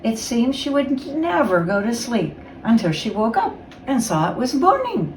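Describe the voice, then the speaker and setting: A woman reading a children's storybook aloud as a guest reader, with expressive, dramatic storytelling. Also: a little high, normal speed. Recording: roomy, distant mic, faint noise.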